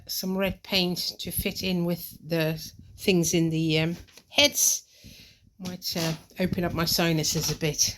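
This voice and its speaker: A woman's voice: lowish voice, slight background noise. A woman with a nasal, congested voice from a cold, giving a casual, matter-of-fact progress update as a DIY vlogger. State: has a cold. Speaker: boat renovation vlogger.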